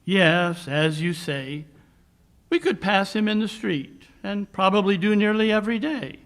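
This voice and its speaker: A man's voice: sits high, fairly bright tone. A man playing a coolly brilliant, brooding Victorian detective in a 1940s radio mystery style, speaking in precise, declamatory phrases. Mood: musing, dry. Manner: deliberate, precise, declamatory monologues. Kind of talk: 1940s radio mystery acting, live re-creation.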